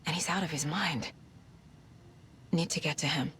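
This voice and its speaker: A woman's voice: deep voice, moderate pace, fairly bright tone, a little hiss or hum. An actress playing a determined, questioning female FBI investigator in a cinematic video game. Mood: alarmed, shouting. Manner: intent, questioning.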